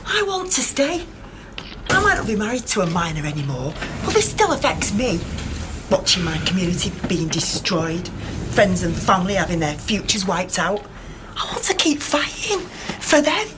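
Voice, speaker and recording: A woman's voice: medium pitch, very animated, moderate pace, lightly acted. Actress doing a activist woman, determined, voice goes up and down loads, noisy radio play. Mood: determined, upset. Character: determined, loyal activist in miners' community. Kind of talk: naturalistic radio drama acting.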